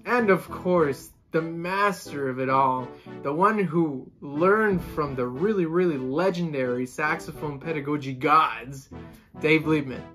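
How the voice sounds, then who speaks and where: A man's voice: a little high, measured pace, bright, forceful tone, soft background music. A Colombian-born young man in his twenties, a saxophonist vlogger, chatting casually to the camera from a room at home and gesturing with both hands. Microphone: camera in front, seated.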